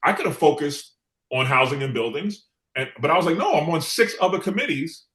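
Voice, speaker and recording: A man's voice: a little high, very animated, brisk, fairly bright tone. A middle-aged man from New York City speaking as an interview guest, telling stories conversationally and repeating phrases for emphasis. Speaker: guest, former city council member. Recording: echoey room sound.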